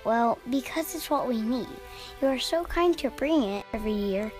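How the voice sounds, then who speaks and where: A woman's voice: on the high side, animated, moderate pace, a little mellow, close to an everyday voice, low-quality recording. A woman voicing a sweet, earnest, grateful little boy in an audio storybook. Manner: earnest, innocent. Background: music.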